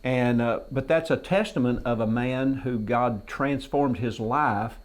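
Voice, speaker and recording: A man's voice: mid-range voice, measured pace. A man hosting a TV talk show, asking guests questions in a folksy, joking way. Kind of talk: casual TV interview. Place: television studio.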